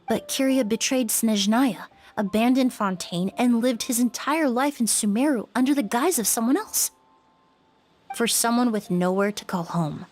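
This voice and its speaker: An actress playing a composed young woman perfumer and investigator, speaking in a calm, measured, thoughtful way in a video game. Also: medium pitch, close to an everyday voice. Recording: game audio with faint music. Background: game music.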